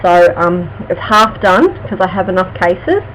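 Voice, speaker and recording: An Australian woman chatting casually on a live stream about her true crime videos, with a halting, stuttering delivery full of fillers and restarts. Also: on the low side, animated, fairly bright tone, slight background noise, low-quality recording. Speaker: true crime channel host.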